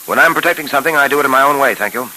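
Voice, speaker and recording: An actor playing a tough, alert police detective in a brisk, commanding 1930s radio serial style. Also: male voice, mid-range voice, sharp tone, rough recording. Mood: guarded, businesslike. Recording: hissy 1930s radio broadcast recording.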